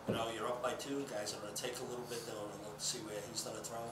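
A man's voice: mid-range voice, little pitch movement, rapid-fire. A middle-aged man, a baseball coach, answering questions at a post-game press conference. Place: indoor press conference room at a table. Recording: echoey, distant mic, loud room noise.

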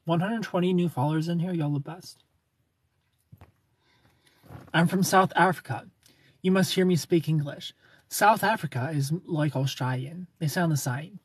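Husky man chatting playfully on a live stream. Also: a little high, moderate pace, dry recording. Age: 30s. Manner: playful, teasing, laughs often. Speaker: live streamer reading comments. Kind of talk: casual live-stream chat. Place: parked car at night, neon lights. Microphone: phone held close.

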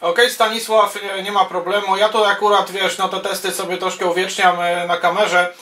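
Male ham radio operator, high voice, procedural calls mixed with casual remarks, hesitant phrasing, off-mic. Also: level tone, normal speed, heavy background noise. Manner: procedural, spells callsigns in phonetic words, hesitant between phrases. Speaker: amateur radio operator and YouTuber. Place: small wood-panelled radio room indoors. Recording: roomy, distant microphone sound.

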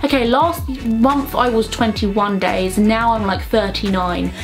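A young adult woman chatting casually and frankly to camera, animated and jokey as she answers questions. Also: mid-range voice, measured pace, soft background music. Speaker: vlogger answering viewer questions. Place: small bedroom, indoors.

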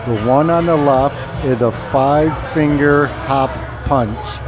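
A man's voice: mid-range voice, slow. A man talking casually as an off-camera co-host. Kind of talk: casual chat. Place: indoor brewery taproom. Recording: noisy taproom with loud music and crowd. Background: loud music, crowd chatter.

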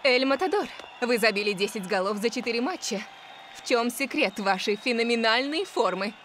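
A woman's voice: on the high side, expressive, normal speed, a bit bright, slight background noise. A woman voicing a keen female sports reporter in a cartoon dub, with punchy interview delivery.

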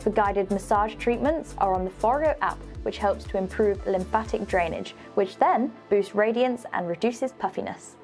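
A woman's voice: mid-range voice, moderate pace, few pauses, darkish tone. A young woman with an enthusiastic, polished delivery, presenting a house tour. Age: young adult. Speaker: presenter of a luxury home tour show. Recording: light background music. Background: faint music.

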